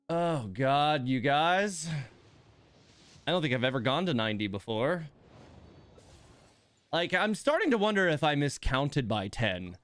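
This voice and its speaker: A man livestreaming a game in a casual, grumbling way. His pitch jumps around a lot, and he takes his time between remarks. He sounds exasperated, with mild swearing and sighs. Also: a bit bright. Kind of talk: casual livestream chat and reactions while playing.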